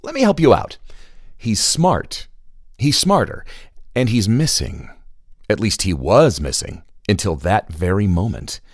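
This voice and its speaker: A man's voice: fairly low pitch, sing-song, measured pace, husky, dry recording, studio quality, heavily acted. A man narrating a children's audio adventure as a wry, teasing storyteller who builds suspense. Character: wry, teasing storyteller addressing young listeners. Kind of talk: scripted narration.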